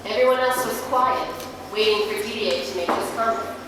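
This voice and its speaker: A woman reads literary narration aloud from a distance. Her voice rings through a very echoey hall, with clear noise around it. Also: on the high side, fairly quick. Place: large echoey venue, live reading. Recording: echoey hall, far from mic, noisy.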